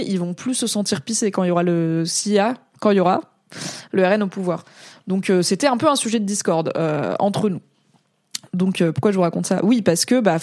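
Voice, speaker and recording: A woman's voice: mid-range voice, a little mellow, no echo, studio quality. A woman from France giving casual, slangy commentary as a streamer reading chat, talking in a rambling way with frequent hesitations.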